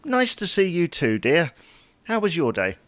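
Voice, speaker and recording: A man's voice: a little high, wildly swooping pitch, a little slow, a bit bright, no echo, heavily acted. A man playing a chatty, fussy, wryly puzzled husband in an audio sitcom. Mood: dry. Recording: noticeable background noise.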